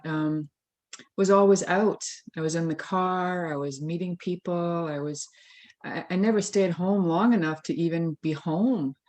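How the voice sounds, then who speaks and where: A woman's voice: on the low side, a little mellow. A Scottish-born woman with an American accent, reflectively sharing a personal story over a video call. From Scotland. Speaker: congregation member. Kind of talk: casual sharing.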